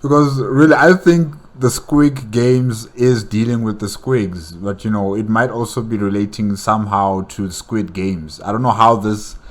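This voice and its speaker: A man hosting a reaction video, musing casually and thinking out loud. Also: on the low side, measured pace, few pauses. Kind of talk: casual commentary.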